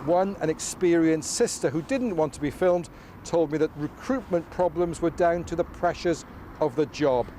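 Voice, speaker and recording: A man's voice: fairly high pitch, moderate pace, mellow, slight background noise. A man working as a news reporter, delivering a scripted report.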